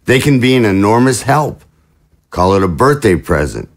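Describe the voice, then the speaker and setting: A man's voice: lowish voice, big pitch swings, moderate pace. A man playing a jovial, scheming political chief of staff in an audio drama, with a glib, jocular, theatrical manner. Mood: grandly pleased.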